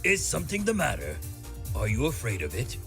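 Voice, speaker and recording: A man's voice: mid-range voice, wildly swooping pitch, a little fast, gravelly, fairly bright tone, soft background music, full character voice. A man voicing a pompous, scheming mad scientist villain in a fan comic dub, giving orders in a theatrical, emphatic way. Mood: proud, probing.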